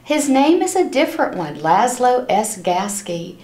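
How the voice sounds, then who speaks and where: A woman voicing a proper, brisk second-grade teacher from a storybook, announcing clearly to her class. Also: fairly high pitch, very animated, darkish tone. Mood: bright announcing. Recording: roomy, echoing room sound.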